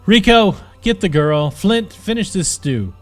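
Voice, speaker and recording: A furious, bullying bandit captain. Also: male voice, high voice, animated, faint music behind, pitched above the performer's own voice. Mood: mocking, bossy. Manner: sneering, shouting, exasperated, drawn-out emphasis. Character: bald, hot-tempered male bandit captain, cruel bully.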